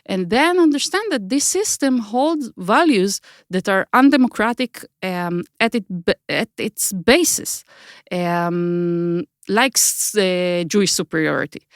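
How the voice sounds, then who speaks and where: A middle-aged Israeli woman, Hebrew her native tongue, gives measured answers in an interview. She feels her way through each thought, repeating words as she reaches for the right one. The recording is dry and close. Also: mid-range voice, animated, no echo. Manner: thoughtful, searching for words with many restarts. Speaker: guest, author.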